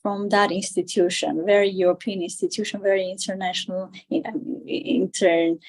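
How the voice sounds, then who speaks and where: A woman, answering conversationally as an interview guest, haltingly, often repeating and rephrasing her words. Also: mid-range voice, steady tone, darkish tone, poor audio. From Armenia. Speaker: interview guest, political candidate.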